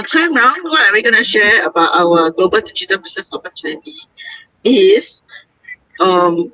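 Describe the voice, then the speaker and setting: A woman's voice: mid-range voice, bright, forceful tone, no echo, highs cut off. A woman from Singapore hosting a casual live stream, chatty, with many fillers and frequent laughs, talking on her way home. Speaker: host. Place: moving vehicle on the way home.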